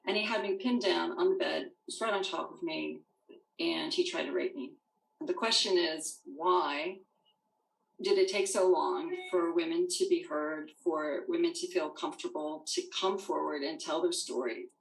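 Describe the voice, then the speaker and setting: Low, creaky woman in an echoey room. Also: normal speed. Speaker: interviewee. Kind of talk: personal testimony in a news report.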